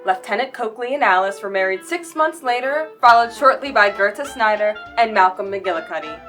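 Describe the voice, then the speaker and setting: A woman's voice: medium pitch, expressive, a little fast, fairly bright tone, faint music behind, lightly acted. A woman playing an old-time radio announcer, narrating theatrically in a 1940s style. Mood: grand, dramatic.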